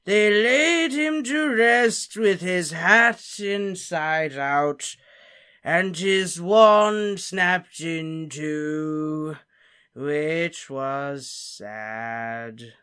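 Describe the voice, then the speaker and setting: A narrator voicing a tipsy, maudlin old man, a plump wizard teacher, with drawn-out, quavering, wheedling lines that sit well above his own voice. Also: mid-range voice, lively intonation, a bit bright, voice pushed up. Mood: drunken singing.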